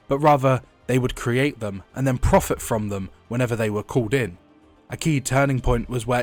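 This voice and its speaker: A young man narrates a video essay with a stuffy, sniffly head-cold sound. He reads from a script in a mid-range voice and breaks off for casual asides. Soft music plays quietly behind him. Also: mid-range voice. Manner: chatty asides while reading lore.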